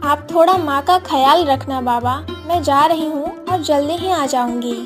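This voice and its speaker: कार्टून कहानी में गरीब लकड़हारे की विनम्र, ज़िम्मेदार जवान बेटी का किरदार निभाती एक महिला।. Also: female voice, sits high, moderate pace, music in the background, lightly acted. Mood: earnest, caring. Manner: polite, earnest. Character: dutiful, polite young village daughter of poor woodcutter. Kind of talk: cartoon moral story acting.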